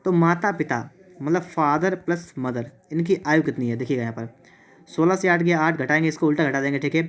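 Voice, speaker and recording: A male math teacher with a slightly high-pitched voice. He walks through exam problems one step at a time. He often poses a short question, answers it at once, and says key results again. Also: fairly quick. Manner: repeats himself, asks and answers his own questions.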